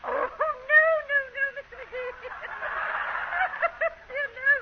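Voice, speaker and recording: An actress playing a pompous, fluttery high-society clubwoman in 1930s radio comedy, with genteel, affected diction. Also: steady tone, takes it slowly, full character voice. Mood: flustered, fluttery. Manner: genteel, affected, flustered, hesitating. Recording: muffled, faintly noisy 1930s radio.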